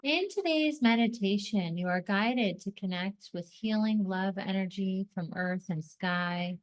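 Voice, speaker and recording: A woman narrates a guided meditation at a slow pace. Her voice sits at an average pitch for a woman. She delivers scripted, soothing instructions in short, deliberate phrases, leaving space between them. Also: rough recording.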